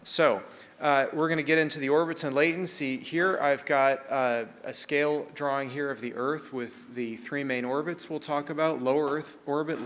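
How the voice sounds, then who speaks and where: A man with a New Zealand accent giving a brisk technical conference talk, with frequent "uh" fillers. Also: mid-range voice, a bit bright. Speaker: conference speaker on satellite access. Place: large conference hall. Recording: reverberant hall, faint ambient noise.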